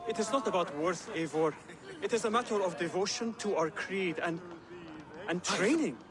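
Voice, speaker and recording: An actor playing a devout, indignant young assassin acolyte in a video game, speaking formally and earnestly. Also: male voice, high-pitched, moderate pace, a crowd in the background, close to an everyday voice. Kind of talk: dramatic video game cutscene acting.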